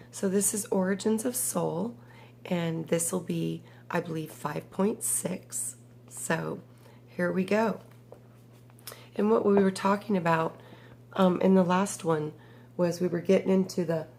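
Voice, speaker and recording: Slow low-voiced woman with a cold. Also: vocal fry, a little mellow, slight background noise. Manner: slow, halting, with fillers. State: has a cold, voice strained. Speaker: spiritual book reader. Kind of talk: reading a book aloud with personal commentary.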